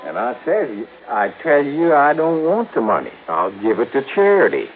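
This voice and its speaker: A man's voice: mid-range voice, big pitch swings, moderate pace, slight background noise, close to an everyday voice. An actor playing an indignant, insistent old man in an old radio drama. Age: older. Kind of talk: 1950s radio drama acting. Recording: muffled old radio broadcast.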